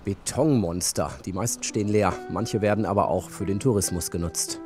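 A man's voice: lowish voice, moderate pace. A man narrating a travel documentary in scripted reporter style. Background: background music.

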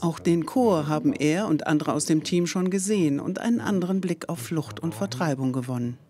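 A woman's voice: low voice, a little fast, dark tone. A woman reading scripted narration for a news report. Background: music.

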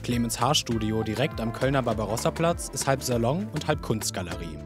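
A man's voice: medium pitch, animated, a little fast. A man reading scripted narration for a TV report with a storytelling delivery. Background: music bed.

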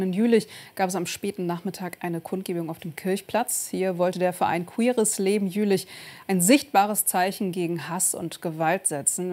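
A woman's voice: lowish voice, a little mellow. A woman presenting regional news in a conversational way.

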